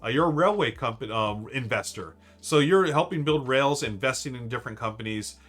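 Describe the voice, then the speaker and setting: A man's voice: medium pitch, animated, a little fast, a bit bright. A man hosting a casual live stream, ranking board games in an enthusiastic, rambling way with lots of fillers and restarts. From United States.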